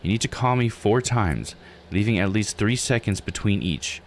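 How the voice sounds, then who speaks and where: A man voicing a guarded, secretive female mermaid, reading her game dialogue aloud in a stern, deliberate way. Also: on the low side, expressive, a little hiss or hum, voice pushed up. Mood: earnest.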